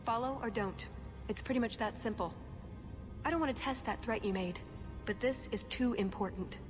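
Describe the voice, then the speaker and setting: A woman's voice: a little high, moderate pace, big-room sound, over music, close to an everyday voice. An actress playing a determined, composed female spy in a video game, speaking in an earnest, matter-of-fact way. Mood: earnest, resolute. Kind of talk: video game cutscene acting.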